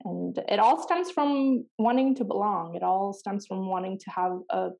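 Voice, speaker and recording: A woman who grew up speaking Pashto and Farsi talks in a reflective podcast conversation. Her voice is mellow and a little gravelly, and she searches for words and often starts over. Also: mid-range voice, normal speed, low-quality recording. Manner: earnest, searching, many restarts. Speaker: podcast co-host.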